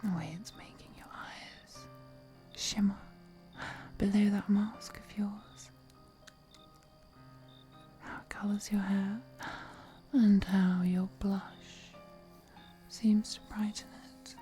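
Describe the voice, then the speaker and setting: Woman acting a slow, whispering, seductive ancient vampire lady. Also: medium pitch, steady tone, dark tone, faint music behind, natural voice. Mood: tender, seductive. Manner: breathy whispering, slow, teasing, formal courtly phrasing. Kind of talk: intimate roleplay audio acting, mostly whispered.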